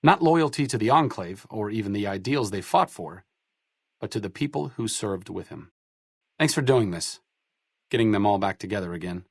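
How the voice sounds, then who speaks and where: A man's voice: fairly low pitch, animated, moderate pace, vocal fry, breathy edge, no echo, exaggerated voice. A man playing a wry, educated, idealistic doctor-researcher in a post-apocalyptic video game, articulate and sardonic. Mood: earnest, sober. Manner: dry, articulate, sardonic, self-deprecating. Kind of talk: video game companion dialogue.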